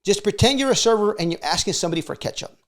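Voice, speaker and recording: A middle-aged man talks in a fairly high voice with plenty of gravelly creak. He gives casual, motivational sales advice as a video host, conversational and often tagging his points with little check-in questions. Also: expressive, very fast. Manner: conversational, frequently seeks agreement with short check questions.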